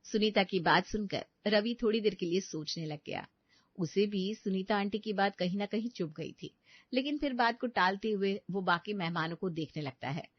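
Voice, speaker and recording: A woman's voice: mid-range voice, moderate pace, dry recording, muffled recording. A woman reading scripted narration as the narrator of a family story.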